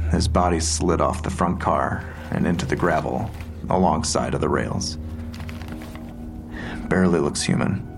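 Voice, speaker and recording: A probing police detective in an audio drama. His voice is low and gravelly, and he questions in a deliberate, matter-of-fact way over faint music. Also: heavily acted. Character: methodical, probing male police detective, quietly pressing.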